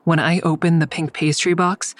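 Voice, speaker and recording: A woman's voice: sits low, flat delivery, moderate pace, lightly acted. A woman playing an anxious but determined young amateur sleuth in a naturalistic audio drama. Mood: confiding. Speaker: lead character and first-person narrator.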